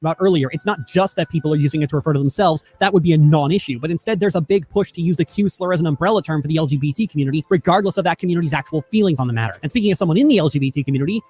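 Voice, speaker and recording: A man's voice: a little high, rapid-fire, few pauses, a little mellow, breathy edge. A man delivering scripted opinion narration as a video essayist, in an emphatic, argumentative and wordy manner.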